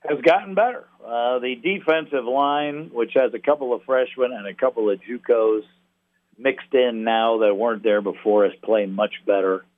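A man talking sports analysis as an interview guest, a play-by-play announcer speaking haltingly, with pauses mid-thought. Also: medium pitch. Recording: thin phone-like radio line.

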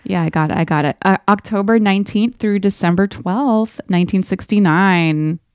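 A woman's voice: mid-range voice, moderate pace, a little mellow, smooth, no room sound, clean studio sound. A woman co-hosting a podcast in a casual, chatty book club style, talking and reading aloud from listener letters. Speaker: podcast co-host.

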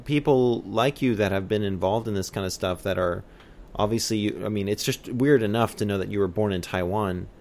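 A man's voice: lowish voice, a little fast, vocal fry, darkish tone, slight background noise. A man interviewing a guest, asking loose, rambling questions. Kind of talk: casual interview.

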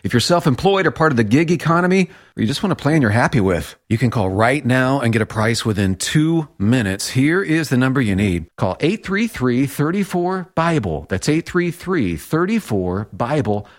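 A man's voice: medium pitch, big pitch swings, normal speed, hardly any pauses. A man speaking conversationally as the spokesman in a radio advertisement.

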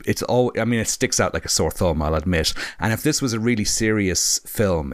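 A man with a slightly croaky voice chatting casually about films as a panelist, in a wry, rambling, enthusiastic way. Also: deep voice, no echo. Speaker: film discussion panelist. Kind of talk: casual film review chat.

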